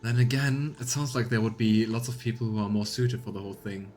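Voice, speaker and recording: A man's voice: lowish voice, fairly quick, a little mellow, soft background music. A man giving casual let's play commentary, thinking aloud as he weighs choices in a role-playing game.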